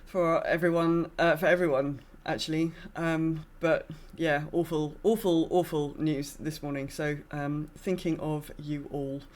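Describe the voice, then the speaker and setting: A woman co-hosting a podcast in casual chat, talking in a halting, self-deprecating way. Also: low voice, fairly even intonation, a little mellow. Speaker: podcast co-host.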